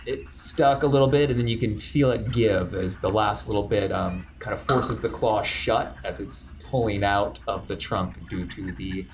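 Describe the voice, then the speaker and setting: Male GM, low voice, loose rambling narration, light background noise. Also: normal speed, hardly any pauses. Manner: relaxed, rambling narration with fillers. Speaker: game master. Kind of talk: narrating a tabletop game.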